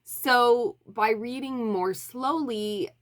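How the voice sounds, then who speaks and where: A woman with a cold, slightly nasal, chatting casually to camera as a book vlogger. She rambles, corrects herself and fills pauses with ums. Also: fairly high pitch. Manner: rambling, self-correcting, many fillers. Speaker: book YouTuber.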